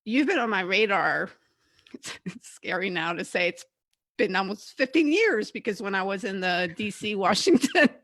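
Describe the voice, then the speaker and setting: Female podcast host from Canada, conversational, creaky mid-pitched voice, dry sound. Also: animated, normal speed, a bit bright, dry recording. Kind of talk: casual interview chat.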